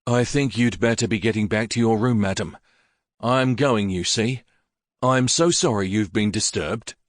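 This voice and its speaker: A man voicing a calm, courteous police inspector who speaks in a measured way. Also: on the low side, dry recording, clean studio sound, natural voice.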